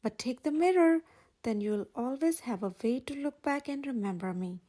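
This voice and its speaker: A woman reading the part of a gentle, lovelorn male beast in a storybook, tender and sorrowful. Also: a little high, expressive, moderate pace. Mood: sad.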